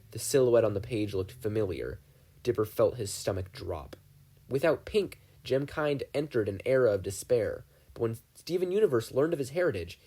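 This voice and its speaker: A man narrating a fan fiction audiobook with expressive storytelling. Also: medium pitch, slightly rough. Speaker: podfic reader.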